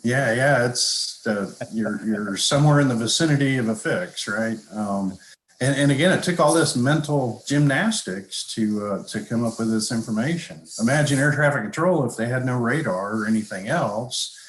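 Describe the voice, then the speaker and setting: An older man explaining his field as an interview guest, halting now and then as he thinks aloud. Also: medium pitch. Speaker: guest, avionics industry professional and pilot. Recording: echoey, roomy call audio.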